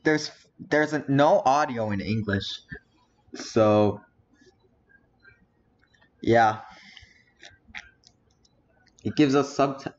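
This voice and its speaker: A man's voice: medium pitch, drawn-out words, long pauses. A teenage boy streaming, muttering to himself as he browses and reads titles aloud. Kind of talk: casual live-stream commentary. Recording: dull lo-fi audio with faint noise.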